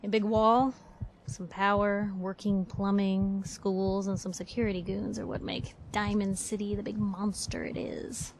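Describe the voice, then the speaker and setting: An actress playing a brash, sarcastic, pushy young newspaper reporter in a video game, theatrical and punchy. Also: female voice, medium pitch, level tone, unhurried, slight background noise, natural voice. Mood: wry.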